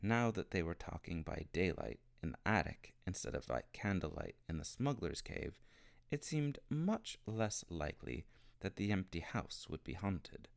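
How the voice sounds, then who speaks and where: A man reading a children's novel aloud as an expressive storybook narrator. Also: sits low, normal speed, vocal fry. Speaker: storybook reader.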